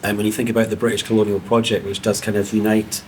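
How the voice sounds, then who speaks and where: A man's voice: fairly low pitch, completely flat delivery, very fast, a little hiss or hum, rough recording. A man on a panel, talking steadily with many fillers. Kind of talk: panel discussion.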